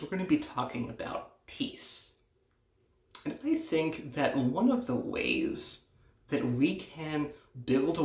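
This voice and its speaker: A young man giving a conversational children's message at church, telling it simply with emphatic repetition. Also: mid-range voice. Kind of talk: children's sermon, told conversationally. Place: home kitchen, indoors. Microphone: camera a few feet away. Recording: echoey room.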